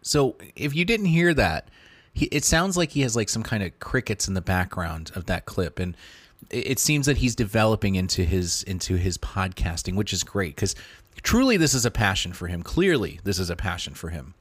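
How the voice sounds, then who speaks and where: A man's voice: on the low side, very animated, moderate pace, husky, dry recording. Enthusiastic man reviewing podcasts. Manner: enthusiastic, repeats words and restarts often. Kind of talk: casual review commentary.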